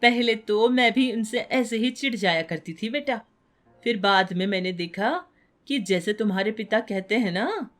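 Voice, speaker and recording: Casting: woman as gentle, reassuring mother in a moral tale, lowered pitch, explanatory delivery. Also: natural voice. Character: gentle, understanding mother of a schoolboy.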